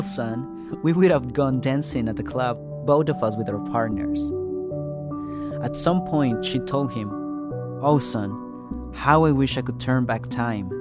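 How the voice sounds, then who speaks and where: A man narrating a first-person podcast story in a measured way as its reporter. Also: mid-range voice. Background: music score.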